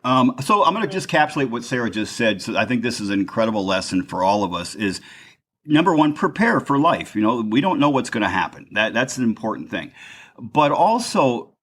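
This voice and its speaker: A man hosting a podcast interview, talking loudly and enthusiastically, often restarting his sentences. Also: medium pitch, sing-song, fairly quick, slightly rough. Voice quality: loud. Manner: enthusiastic, rambling, self-interrupting. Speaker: podcast host. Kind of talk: casual interview chat. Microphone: podcast mic.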